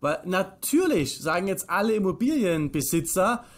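Austrian man, early thirties, higher-set voice, emphatic explainer who poses questions and answers them himself. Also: very animated, moderate pace. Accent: Austrian German. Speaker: financial advisor, interview guest. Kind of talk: explanatory conversation.

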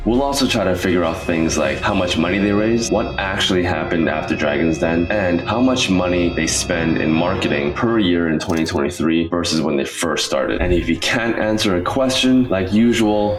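A male podcast host with a very deep voice and an informal, upbeat delivery. He addresses his audience directly and enthusiastically, with music around his talk. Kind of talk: upbeat podcast intro and casual interview.

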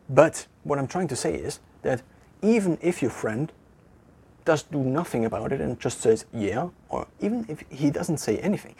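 A German-accented man talks alone to a camera in an empty room, working through his thoughts in a loose, conversational way. His voice is strongly husky, and he often stops mid-phrase to restart or correct himself. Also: medium pitch, mellow. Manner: thinking aloud, halting with restarts and self-corrections. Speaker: vlogger. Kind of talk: casual reflective monologue to camera.

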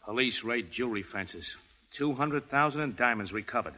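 An actor playing an angry, suspicious racketeer who talks in snarling gangster slang in a 1930s radio drama. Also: male voice, medium pitch, lively intonation, normal speed, sharp tone, soft background music, rough recording. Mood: bitter, suspicious. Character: angry, suspicious racketeer whose business is ruined. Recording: old 1930s radio broadcast.

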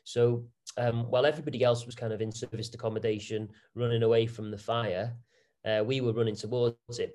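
A man's voice: mid-range voice, darkish tone. A man, answering interview questions about his property business in a chatty way, full of fillers and restarts. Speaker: property investor guest.

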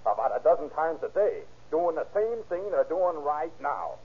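An excitable, suspicious local in a vintage radio drama. His slightly high, raspy voice insists in folksy slang through a muffled, narrow old recording. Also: moderate pace, exaggerated voice. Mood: suspicious, excited. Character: excitable, suspicious, folksy chatterbox hanging around the shop. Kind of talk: old-time radio crime drama acting.

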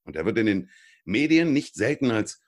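A man's voice: lowish voice, big pitch swings, normal speed. A man hosting a podcast interview, introducing his guest with upbeat enthusiasm. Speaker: podcast host.

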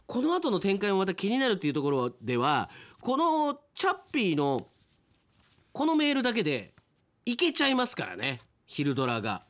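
A man's voice: high-pitched, a bit bright. A man hosting a casual podcast after-talk, chattily reading listener mail and commenting as he goes. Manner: chatty, commenting on the stories he reads. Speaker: radio announcer hosting an after-show talk.

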